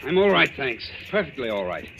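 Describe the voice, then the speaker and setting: A man's voice: mid-range voice, very animated, brisk, creaky, dull audio. An actor playing an officious, indignant male secretary in 1940s radio style, formal, pompous and fussy. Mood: brisk, officious. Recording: old radio recording with music.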